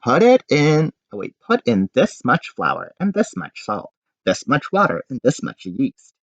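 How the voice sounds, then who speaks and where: A man voicing a bossy, idle baker father in a picture book, giving orders in a drawn-out way. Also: medium pitch, wildly swooping pitch, vocal fry, voice pushed up, heavily acted. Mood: instructing. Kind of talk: children's picture-book character voice. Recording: dull, roomy home recording.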